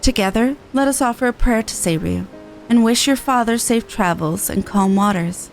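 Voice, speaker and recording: A woman voicing a courteous, formal storyteller lady who recites old legends in a measured, archaic manner for a fantasy game. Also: a little high, gravelly, soft background music. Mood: gracious.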